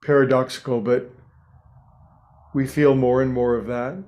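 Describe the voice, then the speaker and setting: A man leading a guided meditation as a teacher, speaking in a gentle, soothing way with frequent pauses. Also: mid-range voice, flat delivery.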